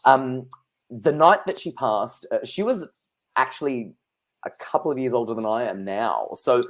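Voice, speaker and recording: A man in his thirties talks as a guest in a relaxed interview. He tells long personal stories in a chatty, anecdotal way, with easy laughs between them. His voice sits at a middling pitch. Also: moderate pace. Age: 30s. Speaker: psychic guest. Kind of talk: casual interview chat.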